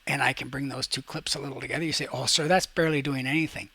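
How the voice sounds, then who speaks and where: A man teaching a class, talking casually through each step of a software tutorial and checking in as he goes. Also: medium pitch, steady tone, fast talker, vocal fry, husky, no echo. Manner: talks through each step while demonstrating, checks in with "okay".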